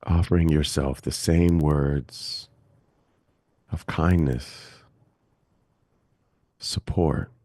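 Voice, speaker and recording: Man, meditation teacher, very deep bass, husky, dark and soft tone, calm, gentle, unhurried. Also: no echo, studio quality. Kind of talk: guided meditation.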